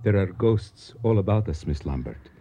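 A learned, nostalgic gentleman in a radio drama, speaking in florid, courteous phrases. The voice is deeply mellow and husky, with lively swoops of pitch. The recording is somewhat dull. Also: normal speed, exaggerated voice. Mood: courtly, gently grave. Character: courtly Egyptian man, learned, nostalgic storyteller.

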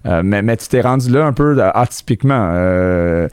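A man's voice: lowish voice, animated, moderate pace, darkish tone, clear, resonant tone, no room sound, clean studio sound. A man with a Québécois French accent hosting a podcast interview in a chatty, joking way, slipping English phrases in. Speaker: podcast host. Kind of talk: casual interview chat.

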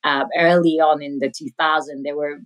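A woman's voice: low voice, level tone, normal speed. British-accented woman, chatty podcast guest. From Sudan. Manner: chatty, laughs often, self-correcting. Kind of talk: conversational interview.

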